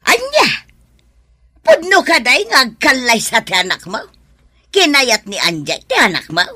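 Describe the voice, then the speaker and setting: A woman's voice: sits high, sing-song, measured pace, bright, forceful tone, slightly rough, no room sound, clean studio sound, full character voice. A woman with an Ilocano accent acting as a nosy, skeptical middle-aged mother in a radio drama. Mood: incredulous.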